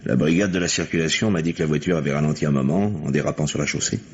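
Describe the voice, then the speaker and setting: An actor playing an earnest, methodical police lieutenant in a French mystery radio play, speaking deliberately. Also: male voice, rumbling low, fairly even intonation, breathy edge. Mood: somber. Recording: muffled, hissy vintage radio recording.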